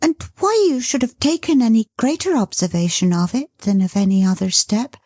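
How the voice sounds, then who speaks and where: A high, fluttering young wife, as the woman reading puts her on, talking fast and breathlessly when news arrives. Her voice swoops up and down and carries a husky, breathy edge. She sounds close and dry, like a storybook reading. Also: big pitch swings, mellow, dry recording, full character voice. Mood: flustered. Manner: rushed, fluttering, high-strung.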